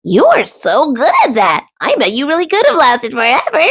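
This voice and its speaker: A woman's voice: sits high, wildly swooping pitch, moderate pace, pitched above the performer's own voice. A woman voicing an eager, admiring duck who is a loyal friend in a children's storybook. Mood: happy, admiring. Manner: bright-eyed enthusiastic quacking. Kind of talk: children's storybook read-aloud.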